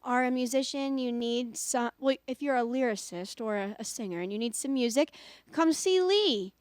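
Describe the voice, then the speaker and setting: A woman in her late twenties hosts a casual podcast. Her voice sits fairly high, and the sound is dry, with hardly any room on it. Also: moderate pace, a little mellow, no echo. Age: late 20s. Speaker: podcast host.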